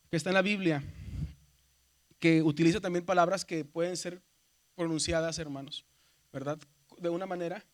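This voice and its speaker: A man from Mexico with a Mexican Spanish accent, teaching a seminary class, who explains step by step, keeps checking with "¿verdad?" and calls his students brothers. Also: a little high. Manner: addresses students as "hermanos". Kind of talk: classroom lecture.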